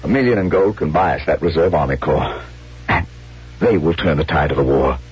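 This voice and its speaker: A man's voice: extremely low pitch, lively intonation, a little fast, a little mellow, a little hiss or hum. A man acting a proud, blunt army colonel in a 1970s radio drama, speaking formally and dutifully. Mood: urgent. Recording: muffled vintage radio broadcast.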